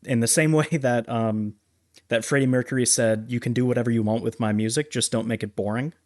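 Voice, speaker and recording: A man's voice: medium pitch, fairly even intonation, fairly quick, darkish tone, no room sound, studio quality. A man co-hosting a podcast, sharing his film opinions in an informal conversational way. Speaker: podcast co-host. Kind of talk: casual film review chat.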